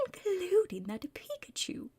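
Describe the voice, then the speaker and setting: A woman's voice: very high-pitched, wildly swooping pitch, slow pace, a little mellow, no echo, exaggerated voice. A woman playing a bossy, scheming female villain in a whispered ASMR roleplay, impatient and conspiratorial with her partner. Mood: scheming, instructing. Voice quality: breathy whisper.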